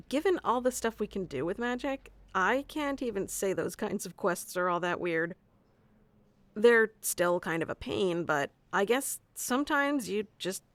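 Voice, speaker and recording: A woman's voice: mid-range voice, a bit bright, dry recording. A woman playing a haughty, easily flustered tsundere young wizard mentor in an ASMR roleplay, bossy and teasing, stammering when flustered. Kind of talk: ASMR roleplay acting addressed to the listener.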